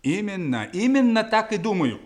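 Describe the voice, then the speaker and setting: Насмешливого хитреца, живая интонация. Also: male voice, high voice, very animated, normal speed. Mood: persuasive. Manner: scoffing, insistent. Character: sly, brisk, mocking persuader of a man. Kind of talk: 1960s radio drama acting.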